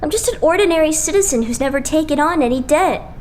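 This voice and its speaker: A woman's voice: sits high, lively intonation, fast talker, a little mellow, a little hiss or hum. A woman playing a quirky, dramatic young idol who exclaims theatrically in an anime game fan dub. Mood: glum, sheepish. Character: dramatic, quirky young idol, self-declared ordinary citizen.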